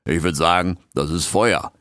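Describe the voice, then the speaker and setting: A man's voice: low-pitched, moderate pace, a bit bright, no echo, clean studio sound. A man voicing a cheeky male raccoon intern who grumbles in street slang. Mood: cocky.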